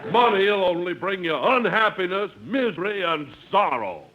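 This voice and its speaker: A high, earnest young prospector. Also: male voice, expressive, moderate pace. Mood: earnest, pleading. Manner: earnest, melodramatic preaching. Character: fat, naive young prospector partner, earnestly preachy. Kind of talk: 1950s radio comedy acting. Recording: noisy, muffled 1950s radio recording.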